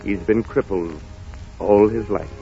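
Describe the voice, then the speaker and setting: An actor playing a stern, commanding Christmas ghost who scolds solemnly, in a muffled 1930s radio drama. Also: male voice, lowish voice, unhurried, vocal fry, dark tone. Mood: grave. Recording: muffled old radio broadcast, faint music.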